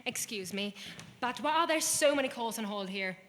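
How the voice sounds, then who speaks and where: An actress playing a brisk, efficient young female army telephone operator who slips easily into French. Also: on the high side, expressive, long reverb tail, a little hiss or hum, close to an everyday voice. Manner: crisp, efficient, switches into French. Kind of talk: stage musical acting.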